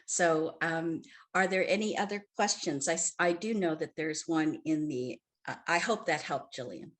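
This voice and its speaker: A woman who grew up in the Philippines, moderating an online panel and answering a question in a reflective, measured way. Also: low-pitched. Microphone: video call.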